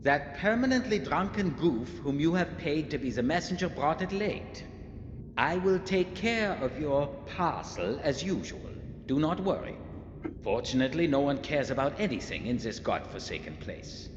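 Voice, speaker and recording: Scornful letter writer, big echo, super animated and theatrical, bit muffled. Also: male voice, a little high. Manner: theatrical, contemptuous. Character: scornful letter writer griping about a messenger. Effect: long reverb. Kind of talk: scripted video game letter voice-over. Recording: reverberant, muffled, slightly noisy game audio.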